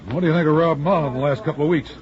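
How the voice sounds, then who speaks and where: An actor playing a brisk, firm college football coach in 1940s radio drama style. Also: male voice, a little high, crowd noise, natural voice. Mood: firm, earnest. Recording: muffled vintage 1940s radio recording.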